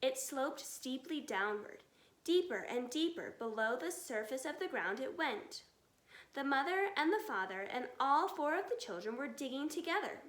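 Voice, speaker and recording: A woman narrating a children's story aloud in an expressive reading style. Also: high voice, normal speed. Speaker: storybook reader. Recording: echoey, reverberant room.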